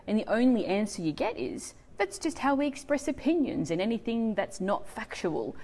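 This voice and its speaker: A woman's voice: a little high, big pitch swings, moderate pace, darkish tone. A woman who is a native English speaker, teaching as a non-native language teacher in an emphatic, scripted lesson delivered to viewers.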